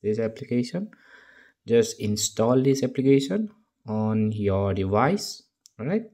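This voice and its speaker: Slow-talking man with a dark, muted voice. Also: on the low side, expressive. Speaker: tutorial narrator. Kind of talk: casual step-by-step tech tutorial voice-over.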